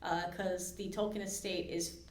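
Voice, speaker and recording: A woman's voice: lowish voice, level tone, normal speed, a little mellow, recorded from a distance. Chatty woman podcast host, roomy sound. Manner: chatty, many fillers and restarts. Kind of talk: casual chat with trivia quiz. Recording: roomy echo.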